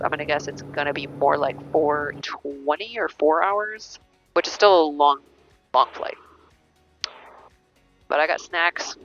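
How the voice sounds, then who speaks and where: A woman's voice: deep voice, a bit bright. An American woman pilot making radio calls and chatting casually to viewers over an aviation headset in a cockpit. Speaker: pilot and vlogger. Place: small turboprop cockpit in flight. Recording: muffled cockpit headset audio.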